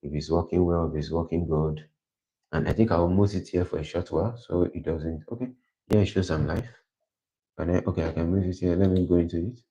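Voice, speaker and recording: A man with a deep voice hosting a livestream, thinking aloud in a casual, rambling way and correcting himself as he goes. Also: fairly even intonation, a little fast. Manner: rambling, self-correcting, plans out loud. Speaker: livestream host. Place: quiet indoor room. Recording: dull lo-fi livestream audio.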